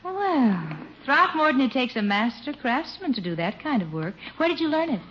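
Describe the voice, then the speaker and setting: Coy, lilting radio sweetheart. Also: female voice, sits high, big pitch swings, moderate pace, a bit bright. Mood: impressed. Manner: coy, hesitant, gushing. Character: genteel, coy single woman being courted, sweetly admiring. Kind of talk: 1950s radio situation comedy acting. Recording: dull, noisy vintage radio recording.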